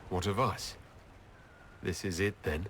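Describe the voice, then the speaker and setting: A man's voice: low-pitched, fairly even intonation, slow, fairly bright tone, a little hiss or hum. A man playing an earnest, composed elf leader in a video game, direct and probing. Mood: hurt, concerned. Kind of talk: video game cutscene acting.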